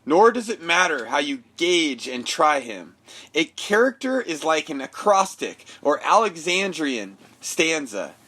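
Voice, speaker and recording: A man's voice: fairly high pitch, very animated, unhurried, sharp tone, a little hiss or hum. A man recording a personal voice memo, reflecting and reading aloud in a thoughtful way. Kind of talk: casual reflection, then reading an essay aloud.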